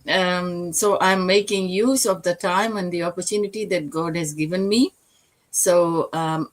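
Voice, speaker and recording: A woman in her sixties from Fiji speaks as a business mentor on an online panel. Her voice is fairly low, and her phrasing is informal and a bit halting. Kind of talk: informal self-introduction in an online session.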